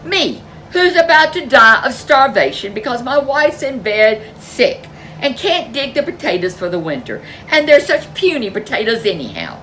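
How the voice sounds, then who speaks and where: A woman's voice: fairly high pitch, very animated, moderate pace, hardly any pauses, a bit bright, a little hiss or hum, pitched above the performer's own voice, rough recording. Woman putting on a whining lazy man. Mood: indignant. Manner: whiny, complaining.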